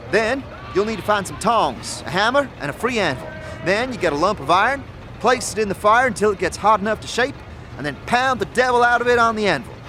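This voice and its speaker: A man voicing a brisk, no-nonsense, muscular horned forewoman in a fantasy audio drama. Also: high voice, voice pushed up. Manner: bossy, no-nonsense, briskly instructing.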